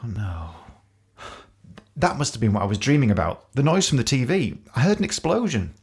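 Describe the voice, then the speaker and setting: A man's voice: mid-range voice, very animated, a little fast, darkish tone, slightly rough. An actor playing a panicked, self-loathing young man who uses drugs, stammering and hesitant, in a naturalistic audio drama. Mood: frightened, panicked.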